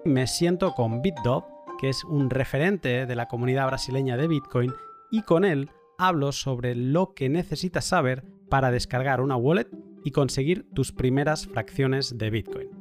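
A man hosts a podcast in a relaxed, measured way. His voice sits in a middle pitch, he often pauses to rephrase, and a little music plays softly behind him. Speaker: podcast host. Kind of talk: podcast intro and conversational interviewing.

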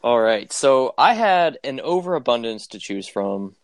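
A man's voice: medium pitch, very animated, unhurried, dry recording. A man in his mid twenties chatting casually as a podcast co-host, laid-back and joking. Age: mid 20s. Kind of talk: casual chat.

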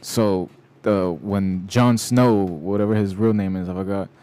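A man's voice: low-pitched, fairly even intonation, unhurried, mellow, clear, resonant tone. A man co-hosting a talk show in casual conversation, slangy and laid-back, often saying "bro". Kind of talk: casual chat.

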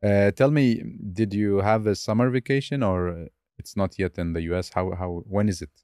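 A man's voice: lowish voice, fairly even intonation, moderate pace, darkish tone, smooth, no room sound, clean studio sound. A man hosting a podcast, friendly and upbeat, asking questions in a casual conversational way. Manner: friendly, upbeat, laughs easily. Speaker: podcast host. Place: Stockholm.

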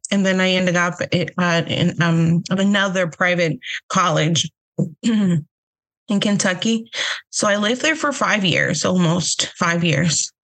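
A woman's voice: fairly low pitch, takes it slowly, fairly bright tone. A young adult woman from Honduras with a Honduran accent, a podcast guest chatting casually, hesitant and full of fillers, laughing often. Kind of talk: casual interview chat.

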